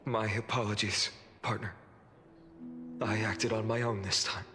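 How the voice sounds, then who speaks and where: An actor playing an earnest young male leader in a video game, speaking in a measured, formal, solemn way. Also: fairly low pitch, steady tone, lightly acted. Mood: solemn, apologetic. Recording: long reverb, music behind.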